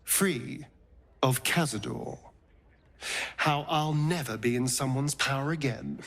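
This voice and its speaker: An actor playing a suave vampire rogue in a video game, with an arch, drawling, theatrical manner that is teasing and tender. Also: male voice, mid-range voice, takes it slowly, fairly bright tone. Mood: wistful, purring. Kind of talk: dramatic video game dialogue.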